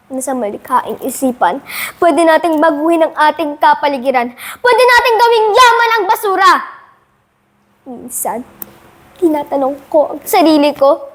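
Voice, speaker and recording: High-voiced Filipino schoolgirl declaiming. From Philippines. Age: child, about 10 to 11 (fifth grade pupil). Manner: dramatic, emphatic, deliberate oratory with rising emphasis. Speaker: student monologue performer. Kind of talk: rehearsed declamatory monologue.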